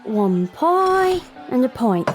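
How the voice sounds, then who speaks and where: A weary barmaid with a working-class English accent. Her voice sits fairly high and she speaks slowly. She is wry, guarded and no-nonsense in this audio drama. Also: very animated, music in the background, full character voice. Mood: dry, teasing. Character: weary, sharp-tongued young barmaid among criminals.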